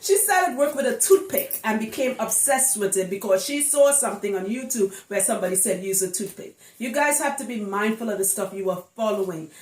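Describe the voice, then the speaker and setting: A woman's voice: mid-range voice, expressive, brisk, hardly any pauses. A woman giving a casual, chatty explainer to camera as a hairstylist, emphatic and fond of rhetorical questions. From Trinidad. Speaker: locs hairstylist. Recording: roomy, echoing indoor sound.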